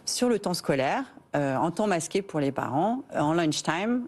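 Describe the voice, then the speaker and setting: Female founder from Rhône-Alpes, English-speaking by birth; earnest, explanatory, pause-filled TV guest. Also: lowish voice, animated, darkish tone. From France, Rhône-Alpes. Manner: earnest, explains at length with many "euh" pauses. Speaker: company founder, interview guest. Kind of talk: TV interview.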